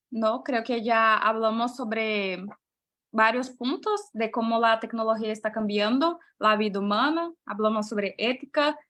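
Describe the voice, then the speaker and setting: Female panel moderator, higher pitch, hesitant and self-correcting delivery. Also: a bit bright.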